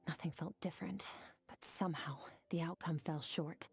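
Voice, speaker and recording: A woman voicing a stoic, self-critical young swordswoman in an anime video game, soft and breathy, speaking in terse, measured lines. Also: deep voice, steady tone, exaggerated voice. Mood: dissatisfied. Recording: game music behind the voice.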